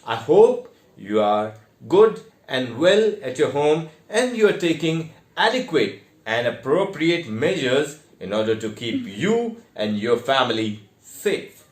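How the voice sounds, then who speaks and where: A man with an Indian accent giving a theatre lesson in a deliberate, step-by-step way with emphatic stressed words. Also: medium pitch, sing-song. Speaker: theatre teacher. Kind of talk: instructional online lesson with demonstrations. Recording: echoey, reverberant room.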